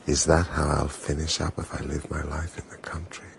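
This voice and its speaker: An actor playing a reserved, melancholy Russian prince in a radio drama, formal and introspective. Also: male voice, very deep voice, level tone, normal speed, vocal fry, heavy background noise. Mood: wistful, brooding.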